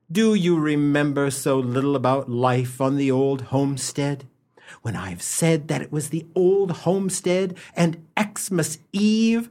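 An actor playing a florid, mock-solemn radio narrator who addresses the listener theatrically. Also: male voice, mid-range voice, natural voice. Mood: exasperated. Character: florid, mock-solemn storyteller, easily exasperated. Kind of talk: comic dramatic storytelling for radio.

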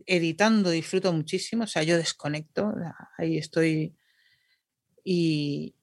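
A woman's voice: deep voice, steady tone, measured pace, no echo, clean studio sound. A woman, a radio announcer and podcaster, chatting casually as a guest and laughing at herself. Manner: chatty, self-deprecating, laughing. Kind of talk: casual interview chat.

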